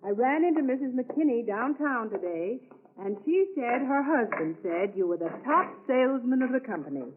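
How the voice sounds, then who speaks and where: A woman acting a trusting, affectionate American housewife in an old radio play. She fusses and coaxes with a very lively, swooping intonation. The recording is thin and narrow, like a vintage broadcast. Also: a little high, normal speed, noisy background, close to an everyday voice. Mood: fond, coaxing. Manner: affectionate, coaxing. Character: fond, fussing housewife, trusting of her husband.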